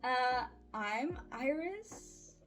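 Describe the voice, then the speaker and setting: A woman's voice: sits high, animated, extremely slow, a bit bright, faint music behind. A woman in her early twenties giving a casual personal update in an awkward, self-deprecating, giggly, rambling way. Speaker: audio roleplay voice creator and vlogger.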